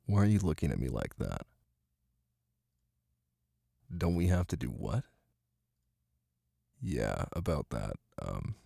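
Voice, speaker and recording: A man's voice: gravelly, mellow, husky, dry recording, studio quality, exaggerated voice. A man playing a brooding, possessive young mafia heir and new husband in an intimate roleplay audio drama, blunt and commanding yet protective. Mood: coaxing, then low, possessive. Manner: blunt, commanding, swearing, low-key intimate delivery.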